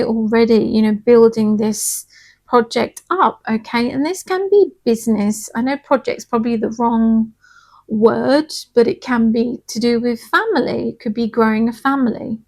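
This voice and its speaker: Slightly hoarse, high-voiced woman card reader. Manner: soft, chatty, reassuring, checks in with "okay?". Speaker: tarot and angel card reader. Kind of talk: casual spiritual card reading.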